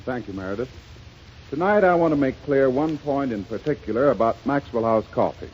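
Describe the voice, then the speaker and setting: An American man working as a radio announcer, delivering a formal, emphatic 1930s sponsor pitch. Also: expressive, brisk, a little mellow. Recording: lo-fi 1930s radio, faint noise.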